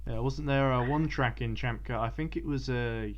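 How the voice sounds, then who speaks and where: A man's voice: medium pitch, moderate pace. Chatty man interviewer, muffled sound. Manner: chatty, laughs easily, fills with ums. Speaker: podcast host interviewer. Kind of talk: casual interview questions. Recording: muffled line with background buzz.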